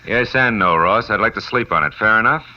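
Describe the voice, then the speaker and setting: An actor playing a tough, wisecracking private detective who narrates in 1950s radio noir style, sardonic and terse. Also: male voice, on the low side, a little fast, lightly acted. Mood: cool. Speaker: narrator and lead character. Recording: dull old radio recording with music.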